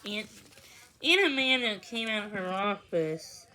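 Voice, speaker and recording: A woman reading a children's story aloud, expressive and a little halting, with small stumbles. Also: medium pitch, slight background noise, low-quality recording. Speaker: storybook reader.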